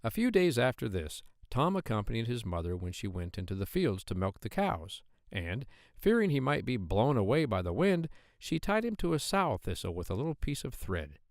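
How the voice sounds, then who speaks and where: Male audiobook narrator, children's storybook, animated intonation, expressive storytelling, dry sound. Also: medium pitch, normal speed, no echo.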